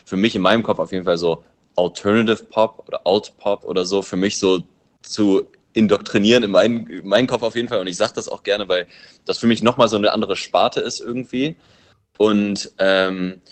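A very low-pitched man whose first language is English talks about his music in a relaxed interview. He muses and thinks aloud, circling back on his points. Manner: loose, musing, thinks aloud. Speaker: musician and producer. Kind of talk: casual interview answers.

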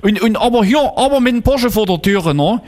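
A man's voice: high-pitched, expressive, fairly quick, darkish tone. A man playing a nosy, simple-minded neighbour on a prank call, pestering stubbornly in folksy colloquial speech. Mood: pestering, aggrieved. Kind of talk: prank phone call played straight.

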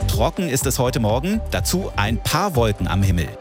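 Lively male weather and traffic voice. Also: mid-range voice, normal speed, music in the background. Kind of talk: radio service reading.

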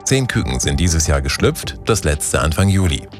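A man reading a radio news bulletin in a calm, measured, formal way. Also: extremely low pitch, music in the background. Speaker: newsreader.

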